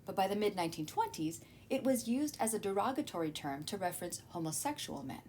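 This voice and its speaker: A woman's voice: sing-song, fast talker, a little mellow. A woman narrating a scripted educational lesson as a teacher, in a didactic manner with rhetorical questions and conversational asides. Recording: clear background noise with low rumble.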